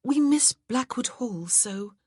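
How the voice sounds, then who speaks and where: A woman's voice: a little high, animated, slow pace, a little mellow, husky, no room sound, studio quality, pitched above the performer's own voice, exaggerated voice. A narrator voicing an earnest young married woman who speaks eagerly in a period audiobook. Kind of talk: audiobook character voice.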